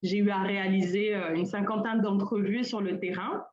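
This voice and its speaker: A woman's voice: mid-range voice, fairly even intonation, moderate pace, darkish tone. Young woman speaking hesitantly over a roomy call. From Senegal. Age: young adult. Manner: hesitant, with many fillers and restarts. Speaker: colloquium panelist. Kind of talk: informal conference talk. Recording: roomy, dull lo-fi call audio.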